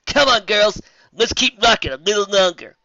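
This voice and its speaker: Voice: mid-range voice, expressive, normal speed, bright, forceful tone, no room sound, dull audio, pitched above the performer's own voice. A performer voicing a laid-back, encouraging female DJ unicorn pony with breezy, slangy speech in a storybook. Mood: upbeat.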